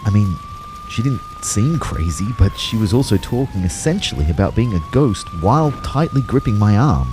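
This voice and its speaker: A man's voice: medium pitch, wildly swooping pitch, normal speed, background noise. A man acting a dazed young man who narrates a disaster in the first person, as dramatic scripted storytelling. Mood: tense, reflective.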